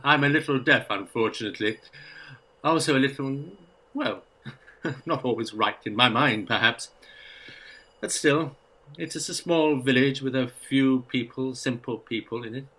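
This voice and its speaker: An actor playing a meek, kindly old English rector in a radio play, hesitant, apologetic and haunted. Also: male voice, mid-range voice, a bit bright, low-quality recording. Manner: hesitant, apologetic, nervously chuckling. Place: actor's home.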